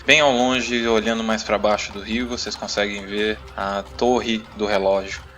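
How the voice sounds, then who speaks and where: A man's voice: lowish voice, steady tone, normal speed. A man with a Brazilian accent, narrating a tabletop roleplaying adventure as game master. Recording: music playing behind the voice. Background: music.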